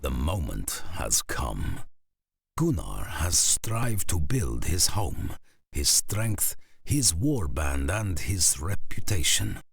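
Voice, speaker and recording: Very deep, gravelly saga narrator. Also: male voice, very animated, dry recording, full character voice. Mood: ominous, epic. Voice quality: gravelly, husky. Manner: portentous, deliberate. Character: grave epic saga narrator of a Viking game. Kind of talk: scripted cinematic narration.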